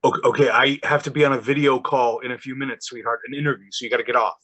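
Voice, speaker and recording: An actor plays a loving, harried father in a small stage play on a video call. His voice sits at a middle pitch. He talks in a placating, gently scolding way, indulgent even when pressed. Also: rapid-fire, husky, low-quality recording. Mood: flustered. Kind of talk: one-act play performed on a video call.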